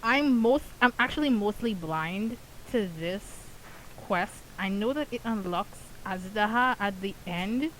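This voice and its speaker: A game streamer giving casual, chatty commentary, often halting and stammering over repeated words. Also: mid-range voice, expressive, a bit bright, a little hiss or hum, low-quality recording.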